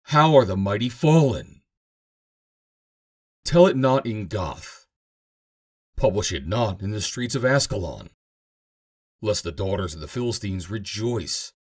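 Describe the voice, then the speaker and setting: A man's voice: on the low side, sing-song, a little slow, pauses often. A man giving a solemn, theatrical, emphatic reading of scripture as a narrator. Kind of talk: dramatic scripted reading of King James Bible.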